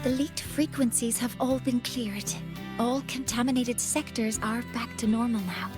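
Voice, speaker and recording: A woman's voice: on the high side, steady tone, moderate pace, music in the background, natural voice. An actress playing a cocky, spirited young student girl in anime-style game dialogue, playful and breezy. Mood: matter-of-fact.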